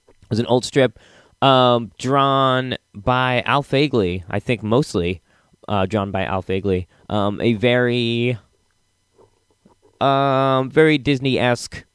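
A man's voice: mid-range voice, clear, resonant tone, no room sound. Enthusiastic casual male podcast host, dry sound. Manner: enthusiastic, rambling.

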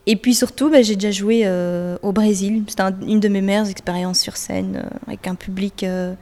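A young woman singer-songwriter answers an interviewer's questions, pausing often and restarting her sentences. Her voice sits at a middle pitch and carries a long reverb from the room around her. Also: fairly even intonation, dark tone. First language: French. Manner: hesitant, many fillers and restarts.

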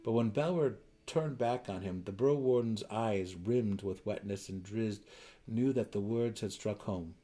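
A man's voice: on the low side, unhurried, warm tone. A man reading fantasy fiction aloud as a narrator, in a dramatic storytelling style. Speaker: audiobook narrator.

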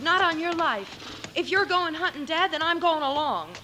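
A woman's voice: expressive, moderate pace. A woman playing an anxious, spirited young frontier woman in an old radio Western drama. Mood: determined. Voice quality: high, bright voice. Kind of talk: 1950s radio Western acting. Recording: faintly noisy old-time radio recording.

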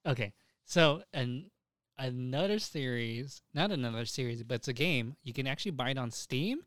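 A young man co-hosting a podcast, chatting casually and crudely about anime and games in an excitable, giggly, sweary way. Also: medium pitch, moderate pace, no room sound, studio quality. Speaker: podcast co-host.

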